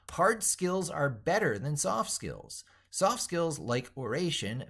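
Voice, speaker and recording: A man's voice: medium pitch, a bit bright. A middle-aged man reading his own essay aloud as he works on it, in a theatrical, wordy, emphatic way. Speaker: tech educator and video host.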